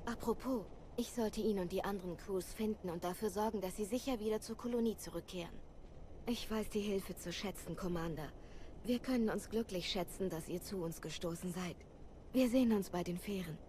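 A woman's voice: mid-range voice, a little fast, warm tone, a little hiss or hum, lightly acted. A woman playing a confident, spirited young Jedi in a video game, with an eager, plucky manner. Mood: relieved, grateful. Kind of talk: dubbed video game cutscene acting.

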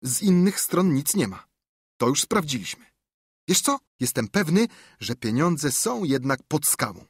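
A man voicing an eager, bossy boy adventurer who talks with raised, breathless enthusiasm and a husky tone. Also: a little high, big pitch swings, unhurried, dry recording, clean studio sound, voice pushed up, exaggerated voice.

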